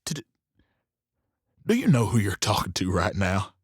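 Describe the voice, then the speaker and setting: Man acting a taunting saloon owner, swinging pitch. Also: big pitch swings, unhurried, slightly rough, no echo, clean studio sound, full character voice. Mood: incredulous, mocking. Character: gruff, world-weary male saloon owner, goading and defiant. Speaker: actor in a western audio drama. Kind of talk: theatrical western audio drama acting.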